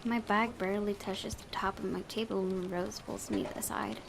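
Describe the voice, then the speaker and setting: A woman's voice: medium pitch, fairly even intonation, moderate pace, gravelly, a little hiss or hum. A woman reading visual novel narration aloud, breaking into casual asides as a gaming YouTuber. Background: background music.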